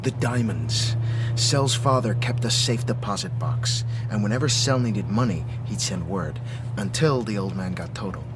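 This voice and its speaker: An actor playing a gruff, impatient, hard-boiled secret agent in a radio drama, curt and commanding. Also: male voice, on the low side, expressive, normal speed, music in the background, natural voice. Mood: brisk explaining. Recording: noisy radio drama with vehicle hum.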